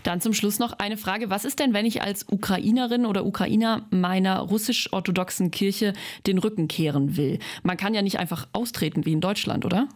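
A woman with a mid-range voice interviews a guest on the radio. She asks her questions clearly and calmly and wraps up the conversation smoothly. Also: medium pitch, brisk, few pauses.

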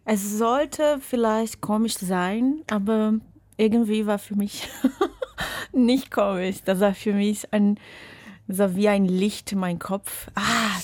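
Slow, laughing young woman. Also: medium pitch, darkish tone, no echo. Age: young adult. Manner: searching non-native phrasing, laughs often. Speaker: interview guest. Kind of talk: casual chat. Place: radio studio.

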